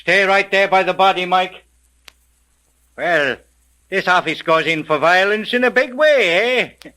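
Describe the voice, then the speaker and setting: An actor playing a brash, sarcastic, suspicious police sergeant in a 1930s radio crime drama, barking and sneering in tough slang. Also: male voice, sits high, unhurried, pushed and bright.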